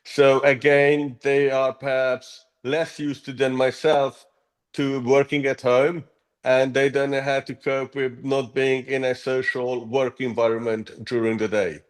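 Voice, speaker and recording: Hungarian-born man, mid-pitched, measured interview guest who often restarts his sentences. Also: level tone. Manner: earnest, measured, with frequent ums and restarts. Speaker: app co-founder, guest. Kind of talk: interview answers.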